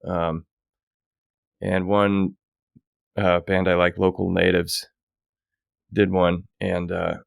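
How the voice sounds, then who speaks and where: Very low, gravelly man telling a story. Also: no room sound. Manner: easygoing storyteller, dry quips. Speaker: podcast co-host. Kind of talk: casual chat. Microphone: home podcast mic.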